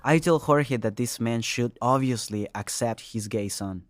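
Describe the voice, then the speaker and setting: A man's voice: mid-range voice, measured pace, slight background noise. A man reading a scripted first-person story as its narrator. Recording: studio narration over music. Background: music.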